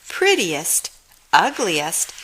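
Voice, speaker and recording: A woman's voice: fairly low pitch, huge pitch swings, drawn-out words, fairly bright tone, slightly rough, heavily acted, low-quality recording. A woman voicing a kindly female schoolteacher in a children's storybook, addressing children as if announcing to a class. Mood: instructing. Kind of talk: children's storybook read-aloud.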